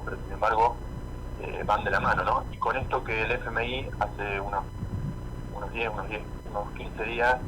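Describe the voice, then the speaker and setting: An Argentine man with a lowish voice speaks over a faintly noisy line. He delivers radio commentary on current affairs in a halting way, working out his phrases aloud and often repeating words. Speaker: radio columnist. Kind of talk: spoken political and economic commentary.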